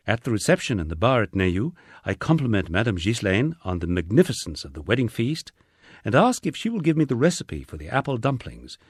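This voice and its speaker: A man narrating a first-person memoir in a measured, storytelling way, as an audiobook reader. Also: fairly low pitch, no room sound, studio quality.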